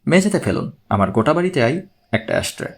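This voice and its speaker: A man's voice: fairly high pitch, sing-song, fast talker, slightly rough, heavily acted. A man voicing a wry, unflappable male investigator in an audiobook, dry and plain-spoken. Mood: wry, calm.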